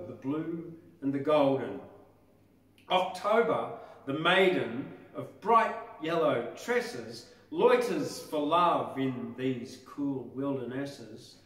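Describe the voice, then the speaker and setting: Slow, echoey older man reciting. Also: a little high. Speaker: poetry reader and presenter. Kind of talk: poetry recitation with spoken introduction. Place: mural-backed wooden stage area. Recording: roomy echo, distant microphone.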